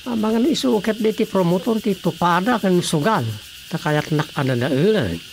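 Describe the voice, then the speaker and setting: A man's voice: high voice, lively intonation, normal speed, gravelly, slight background noise. A man acting a scheming, bossy village officer-in-charge in an Ilocano radio comedy drama, with an Ilocano Filipino accent. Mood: secretive, commanding.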